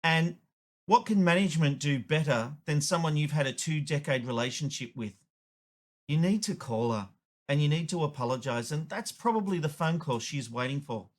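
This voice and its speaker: A man hosting a show, delivering opinionated, emphatic editorial commentary. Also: fairly high pitch. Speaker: show host, media commentator.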